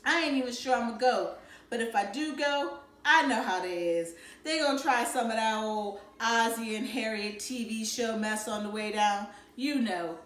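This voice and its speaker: A woman's voice: mid-range voice, a bit bright, roomy sound, lightly acted. A woman voicing a defiant, scheming teenage boy with swaggering street slang, a bullying big brother in a storybook.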